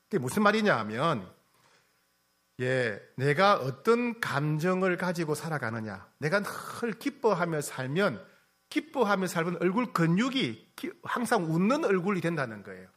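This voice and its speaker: Lively, high-voiced male preacher. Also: moderate pace. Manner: asks the congregation questions and teases them; repeats key phrases, swings from loud to soft. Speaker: pastor. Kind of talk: sermon.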